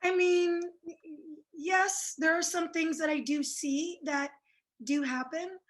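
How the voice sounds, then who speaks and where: A woman with a high voice, raised speaking French and Italian, answers interview questions as a psychic guest. She sounds shy and hesitant and fills her answers with ums and restarts. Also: steady tone, slow pace. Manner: shy, hesitant, many fillers. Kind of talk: casual interview chat.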